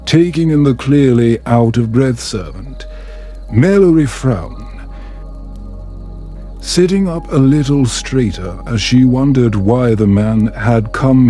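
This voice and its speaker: A man narrating a scripted bedtime story in a calm, soothing, measured manner. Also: medium pitch. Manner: soothing, measured, unhurried delivery.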